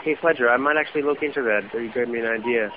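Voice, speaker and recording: A man's voice: mid-range voice, very fast, heavy background noise. Reflective German-native man, muffled radio audio. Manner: reflective, thinks aloud with "you know" asides. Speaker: author, interview guest. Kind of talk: radio interview conversation.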